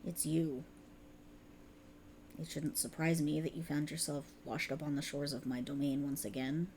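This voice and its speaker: A woman's voice: low voice, steady tone, a little mellow, slight background noise, pitched below the performer's own voice. A woman voicing a cruel, condescending otherworldly warlock patron in a theatrical, taunting ASMR roleplay, close to the microphone. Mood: mocking disdain. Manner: taunting, theatrical, mock-affectionate, drawn-out emphasis. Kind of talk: ASMR roleplay, dramatic monologue.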